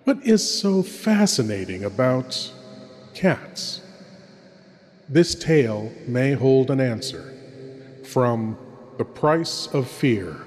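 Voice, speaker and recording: A man playing a courtly, ghoulish horror show host, speaking theatrically and ominously with dramatic pauses. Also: medium pitch, big pitch swings, a little mellow, faint music behind. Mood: ominous, musing. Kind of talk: old-time horror host narration. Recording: long cavernous reverb.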